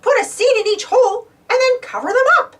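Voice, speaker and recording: A woman's voice: very high voice, brisk, fairly bright tone, distant mic, pitched above the performer's own voice. A woman voicing a cheerful, helpful little male mouse with encouraging exclamations in a children's storybook.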